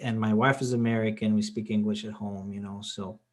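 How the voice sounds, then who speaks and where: A man's voice: fairly low pitch, flat delivery, brisk. Portuguese-accented man, chatty. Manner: chatty, repeats words at starts, many "you know" and "I mean". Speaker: conversation partner. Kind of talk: casual conversation.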